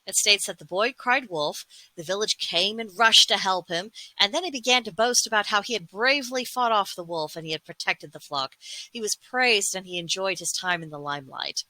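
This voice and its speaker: A woman hosting a folklore series, speaking in an earnest, deliberate way as she delivers a scripted monologue with formal wording. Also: medium pitch, bright, forceful tone, dry recording, poor audio. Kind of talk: scripted monologue retelling and commenting on fables.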